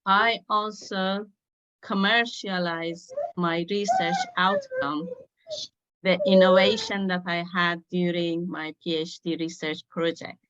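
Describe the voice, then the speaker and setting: Woman guest speaker, unhurried measured delivery, muffled lo-fi call audio. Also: on the low side, very animated, a bit bright. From Afghanistan. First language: Dari. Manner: measured, with frequent uh pauses. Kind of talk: personal talk on a video call.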